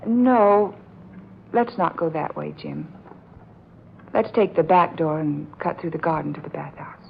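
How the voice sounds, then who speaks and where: Casting: a troubled young fiancée, lowish voice, hesitant and urgent, vintage radio melodrama on a muffled recording. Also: female voice, unhurried, creaky. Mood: tired, polite. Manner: hesitant, urgent, earnest delivery with repeated words. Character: frightened, distressed young fiancée, suspicious of her aunt. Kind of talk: old-time radio drama acting. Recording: dull, noisy old radio recording.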